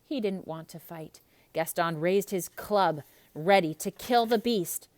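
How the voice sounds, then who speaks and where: A woman reading a children's story aloud in an expressive, theatrical narrating style. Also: on the low side, unhurried, dry recording. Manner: expressive, dramatic.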